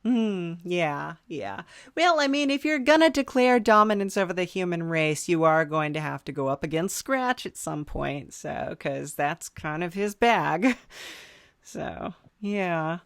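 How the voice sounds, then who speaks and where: A woman with a fairly low voice hosts a casual interview podcast. She is playfully indulgent and a bit hesitant. The sound is close and dry. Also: lively intonation, unhurried, clear, resonant tone, dry recording. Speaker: podcast host.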